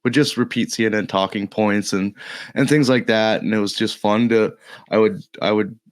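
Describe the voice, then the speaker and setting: A man who is a little under the weather, with a slightly gravelly voice, chatting casually as a podcast guest in a halting way. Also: fairly low pitch, steady tone, dry recording. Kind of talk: casual interview chat.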